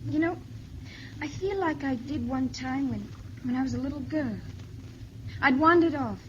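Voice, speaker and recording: A woman's voice: high voice, normal speed, lo-fi sound, slight background noise, close to an everyday voice. An actress playing a tender, frightened young woman fleeing invaders in a 1950s radio drama, on an old broadcast recording. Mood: wistful, sad.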